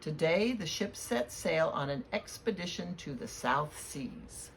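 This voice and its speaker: A woman reading a storybook aloud to children in a deliberate, expressive way. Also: low voice. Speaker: children's read-aloud reader at a library. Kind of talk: storybook read-aloud with spoken introduction. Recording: clear background noise.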